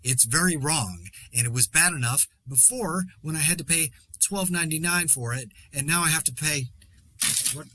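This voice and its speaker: A man's voice: fairly high pitch, moderate pace, bright, forceful tone, no echo. A man playing an exasperated podcaster in a zombie outbreak, delivering a dry, sarcastic, rambling solo monologue in a conversational way. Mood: exasperated, ranting. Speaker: podcast host.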